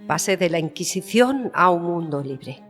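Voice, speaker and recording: A woman's voice: fairly low pitch, lively intonation, faint music behind, natural voice. Actress as proud, wounded Spanish queen in a reverberant hall. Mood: composed, pointed. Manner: formal, measured, pointed. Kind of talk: dramatised historical interview.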